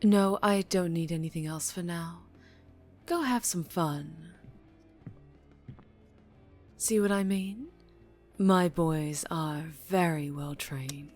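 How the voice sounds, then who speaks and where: A low-pitched female mafia boss, acted by a woman in an ASMR roleplay. She takes her time over every phrase, teasing with courteous charm and veiled menace. Faint music plays behind her. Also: a little mellow. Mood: teasing, probing. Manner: slow, deliberate, polite with veiled menace.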